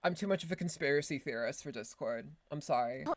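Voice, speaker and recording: An Irish woman, a voice actor, chatting casually on a stream with quick self-mocking asides and laughs. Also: low voice, no room sound. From Ireland. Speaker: voice actor and streamer reacting to a game video. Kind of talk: casual chat.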